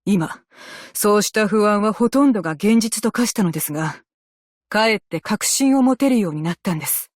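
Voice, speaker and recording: A woman's voice: mid-range voice, animated, darkish tone, no room sound, clean studio sound, lightly acted. Woman acting a composed, formal female naval officer. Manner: formal, measured, military politeness, stern in commands. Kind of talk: scripted game character voice lines in Japanese.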